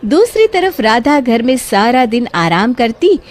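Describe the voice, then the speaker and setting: A woman's voice: on the high side, sing-song, unhurried. A woman narrating a scripted cartoon moral story as its storyteller. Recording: music bed behind the voice.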